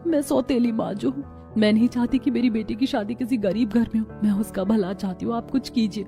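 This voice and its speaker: A woman's voice: on the high side, steady tone, brisk, vocal fry, mellow, faint music behind. A woman playing a worried, aggrieved stepmother in a cartoon family melodrama. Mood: worried, pleading. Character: worried, well-meaning stepmother of a grown daughter.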